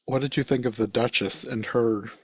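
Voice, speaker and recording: A man's voice: medium pitch, fairly even intonation, normal speed, no room sound. A man talking casually as a podcast co-host, giving his thoughts on a show. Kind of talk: casual chat, review.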